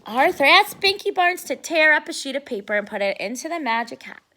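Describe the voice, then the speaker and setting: A woman giving an expressive read-aloud of a storybook for preschoolers, explaining simply to young listeners. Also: high-pitched, fairly quick, a little hiss or hum.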